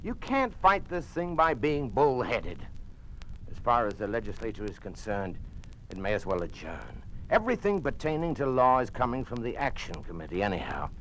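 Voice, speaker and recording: A man's voice: mid-range voice, very animated, moderate pace, a bit bright. An American man acting as a governor's cautious, pragmatic doctor friend in an old radio drama, scolding and urging with emphasis. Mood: urgent, pleading. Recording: noisy old-time radio recording.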